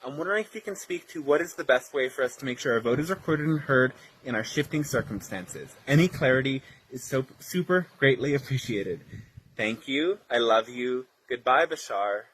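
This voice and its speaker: A man's voice: medium pitch, animated, normal speed, a bit bright, slight background noise, rough recording. A man from Pennsylvania posing a long, earnest, polite question from a prepared script. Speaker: audience questioner. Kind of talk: prepared question read aloud.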